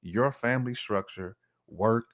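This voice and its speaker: A man's voice: lowish voice, unhurried, fairly bright tone. A man giving his opinions in casual podcast conversation, restating his points with emphasis. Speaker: podcast speaker.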